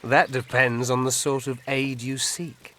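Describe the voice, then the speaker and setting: Man as aged fantasy game elf: melancholy, tipsy, enigmatic woodsman, medium pitch. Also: little pitch movement, measured pace, fairly bright tone, slight background noise, close to an everyday voice. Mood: aloof, matter-of-fact. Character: weary, melancholy old male elf woodsman, cryptic. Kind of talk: fantasy video game dialogue.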